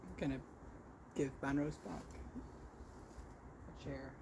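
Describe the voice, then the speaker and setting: Male vlog host, stutters, slow halting delivery, casual chat, clear background noise. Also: a little high, steady tone. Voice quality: stutter with repeated sounds and blocks. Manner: halting, self-correcting. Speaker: vlog host showing crochet work. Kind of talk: casual podcast-style chat.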